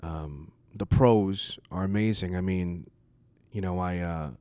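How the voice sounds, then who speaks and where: A man's voice: low voice, slow pace, a little mellow, dry recording. A man from the United States whose first language is Cantonese, speaking as a comedian in a casual, rambling, profane monologue. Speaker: stand-up comedian.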